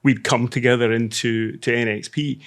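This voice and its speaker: A middle-aged man with a Scottish accent, a podcast guest who talks in a measured, self-deprecating way with frequent restarts. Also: medium pitch, steady tone. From Scotland. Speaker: podcast guest, semiconductor executive. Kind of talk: casual interview talk.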